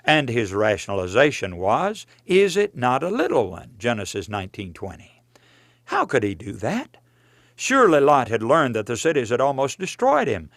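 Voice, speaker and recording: A man's voice: mid-range voice, wildly swooping pitch, moderate pace, fairly bright tone, no room sound, studio quality. A man preaching from a written text in an earnest, admonishing, emphatic way, as in a sermon read aloud with rhetorical questions. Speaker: preacher reading own religious book.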